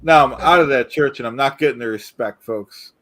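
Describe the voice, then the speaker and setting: A man's voice: medium pitch, a little fast, fairly bright tone. A man co-hosting a livestream, bantering comically and playing to the listeners in a mock-aggrieved manner. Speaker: livestream co-host.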